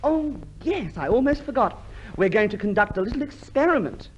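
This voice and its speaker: A silly, prissy sergeant with an extremely high, swooping voice, gushing jolly posh slang at his men. It is 1950s radio comedy on a noisy old recording with a studio audience. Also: big pitch swings, moderate pace, lo-fi sound. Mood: fussy, confiding.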